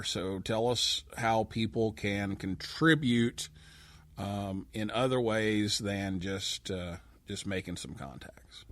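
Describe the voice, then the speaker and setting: A man's voice: mid-range voice, fairly bright tone, no room sound. A man hosting a talk show, interviewing a guest in a conversational way.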